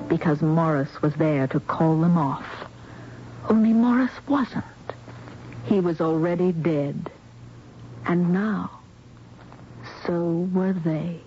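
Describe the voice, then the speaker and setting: A woman's voice: fairly low pitch, slow, long pauses, a little hiss or hum. An actress playing a compassionate, frightened young woman in a radio mystery drama, speaking with earnest drama. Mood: somber, reflective. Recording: muffled vintage radio broadcast with music.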